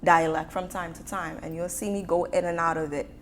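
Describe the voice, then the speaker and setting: A woman from the United States hosting a casual panel chat, lively and animated. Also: fairly low pitch, fairly quick, slight background noise. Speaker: show host.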